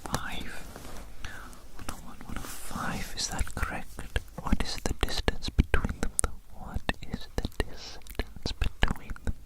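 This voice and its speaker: ASMR performer, fully whispered, breathy and soothing, playing a fussy, fascinated doctor who murmurs phrases over and over. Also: unhurried, poor audio. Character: fussy, fascinated doctor studying a little creature. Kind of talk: whispered ASMR medical roleplay.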